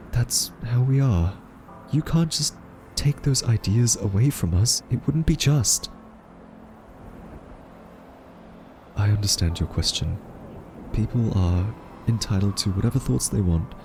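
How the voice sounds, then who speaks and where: Soft, slow-spoken anxious scientist played by a man. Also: lowish voice, a little hiss or hum. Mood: uneasy, conceding. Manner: hesitant, halting, earnest reasoning. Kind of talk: audio roleplay monologue to the listener.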